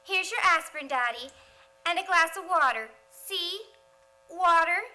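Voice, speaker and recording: A woman's voice: very high voice, lively intonation, measured pace, sharp tone, exaggerated voice. A woman playing an eager, dutiful teenage daughter in an old-time radio comedy. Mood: eager, solicitous. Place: theater stage, radio play performance.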